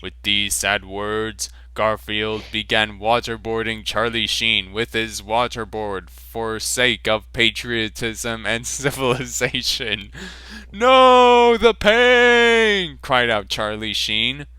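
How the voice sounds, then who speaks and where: Male narrator for a fanfic reading, slow, clear and dry-sounding, holding back laughs. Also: lowish voice, little pitch movement, bright, forceful tone, dry recording. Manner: slow mock-serious reading, breaking into laughs. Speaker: reader.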